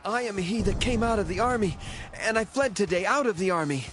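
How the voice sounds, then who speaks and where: An actor playing a distraught messenger who has fled a battle, in a dramatized Bible reading. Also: male voice, high voice, lively intonation, brisk, over music. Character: distraught young male messenger fleeing battle.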